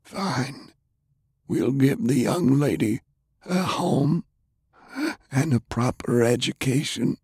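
Strained, breathy old war general. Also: male voice, medium pitch, takes it slowly, long pauses, a little mellow, no echo, studio quality, pitched above the performer's own voice. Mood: grim, insistent. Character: ruthless old male general, sickly war leader.

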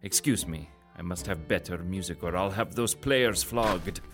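A man's voice: sits low, normal speed, a bit bright, soft background music. A man playing an imperious emperor in an audio drama, with a commanding, brusque manner. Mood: regal.

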